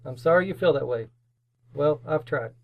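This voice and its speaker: An actor playing a cheerful, conciliatory young gentleman in old-time radio drama style, friendly and earnest. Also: fairly high pitch, moderate pace, gravelly, narrow, tinny audio.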